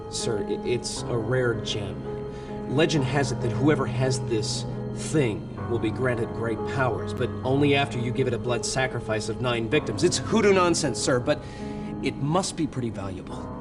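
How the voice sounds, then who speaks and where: A man plays a young American federal agent for a video game cutscene. He is earnest and stubborn, deferential yet insistent, in a mid-pitched voice. Music plays clearly underneath. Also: lively intonation, moderate pace, close to an everyday voice. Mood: earnest, explaining. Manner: hesitant, pleading, deferential. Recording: game dialogue over background music.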